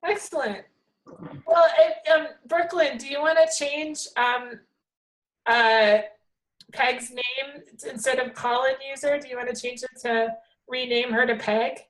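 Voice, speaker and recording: A woman's voice: high-pitched, steady tone, measured pace, rough recording. A woman hosting a group video call, upbeat and chatty as she welcomes people. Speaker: host. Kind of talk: casual video chat.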